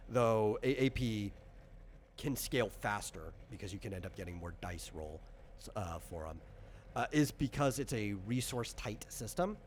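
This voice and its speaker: A man's voice: lowish voice, animated, moderate pace, a little hiss or hum. A man explaining things in casual chat, in a rambling, hesitant way, as a game designer running character creation.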